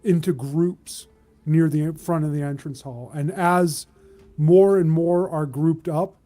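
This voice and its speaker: Man, game master, soft dark timbre, fairly high pitch, improvised narration, measured, pausing. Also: steady tone, faint music behind. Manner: measured, descriptive, pausing mid-sentence.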